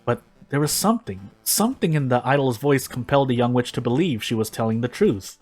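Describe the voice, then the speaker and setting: A man's voice: medium pitch, expressive, fairly quick, darkish tone, faint music behind. Man narrating a story dramatically. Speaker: reader of a fan fiction story.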